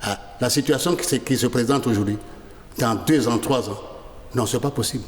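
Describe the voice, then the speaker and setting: A man's voice: medium pitch, brisk. Insistent man lecturing in an echoey studio. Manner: insistent, lecturing, with many restarts. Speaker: studio guest, sanitation advocate. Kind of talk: studio interview.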